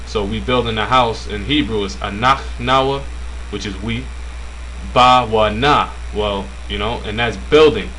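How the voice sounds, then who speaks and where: Casual low-voiced man teaching. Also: fairly bright tone, slight background noise, rough recording. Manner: casual, halting, many fillers. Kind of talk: informal religious language lesson.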